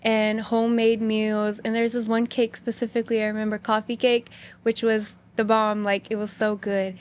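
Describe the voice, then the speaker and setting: Young woman, first language Hindi, high-ish voice, casual chatty guest, lots of fillers. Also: completely flat delivery, normal speed. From United States. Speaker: podcast guest. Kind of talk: casual interview chat.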